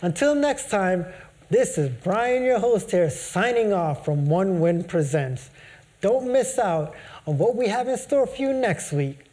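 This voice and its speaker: A man's voice: high-pitched, expressive, a little slow, a little mellow. A male host closing his show with an upbeat, polished presenter delivery. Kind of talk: scripted show outro.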